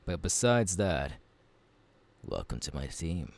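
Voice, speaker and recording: Male actor as seasoned pirate swordsman, gruff yet easygoing, heavy vocal fry, slow intimate delivery for ASMR audio roleplay. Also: low voice, very animated, heavily acted. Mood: relaxed, welcoming. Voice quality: gravelly. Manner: unhurried, addressing the listener intimately.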